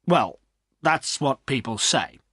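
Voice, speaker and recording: A man's voice: medium pitch, big pitch swings, slow, fairly bright tone, husky, dry recording, studio quality, heavily acted. A man reading an audiobook, voicing a village innkeeper who firmly tries to keep the peace. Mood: firm calming. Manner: firm, placating. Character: peacekeeping middle-aged male village innkeeper.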